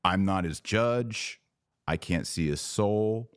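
A man's voice: low-pitched, slow pace, clean tone, dry recording, studio quality. A man hosting a conversational religious debate podcast, arguing emphatically with hypothetical examples. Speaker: podcast host.

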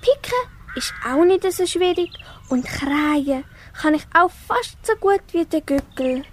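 A woman's voice: sits high, lively intonation. A young girl with a Swiss German accent playing a small, lonely, curious kitten in an amateur school radio play, meowing and earnest. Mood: curious, eager. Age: child or young teen. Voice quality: childlike, meowing. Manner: slow, earnest story-acting with meows. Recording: faint background noise.

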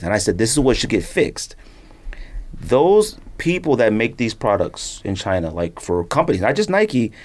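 A middle-aged man hosting a podcast, talking casually and emphatically, rambling and repeating himself to stress his opinions. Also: on the low side. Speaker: sneaker podcast host. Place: podcast studio.

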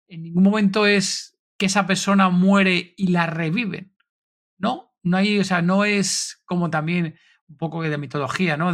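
High, creaky-voiced man from Spain hosting. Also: fairly bright tone. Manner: rambling questions with frequent tag questions. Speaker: podcast host. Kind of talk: casual interview chat.

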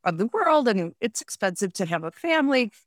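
A woman's voice: medium pitch, very animated, fairly quick, no room sound, clean studio sound. An American woman in her fifties talking candidly and reflectively about herself as a guest in a conversational interview. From United States, upstate New York. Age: 50s. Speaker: podcast guest, writer and podcaster.

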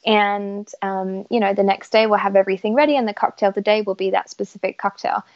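A woman's voice: mid-range voice, fairly even intonation, fast. An enthusiastic woman in her thirties chats with a mixed Canadian and British accent, with plenty of ums and likes. Her voice sits in the middle of the range. The audio is a little dull and lo-fi. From Isle of Man, raised in Canada. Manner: chatty, enthusiastic, many fillers. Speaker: yacht chief stewardess, guest. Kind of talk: casual podcast interview.

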